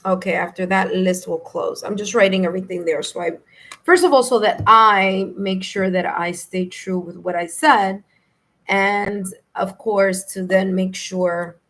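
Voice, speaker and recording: A woman with a New York accent and a slightly hoarse, raspy voice, hosting a live tarot stream in a friendly, chatty way. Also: medium pitch, a bit bright. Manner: friendly, chatty, laughs easily. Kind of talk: casual live-stream chat, greeting viewers.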